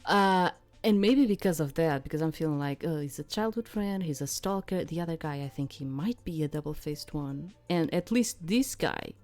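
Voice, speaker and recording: A woman's voice: low-pitched, a little mellow. A woman streaming a game, reading its text aloud and adding casual, rambling commentary as she searches for words.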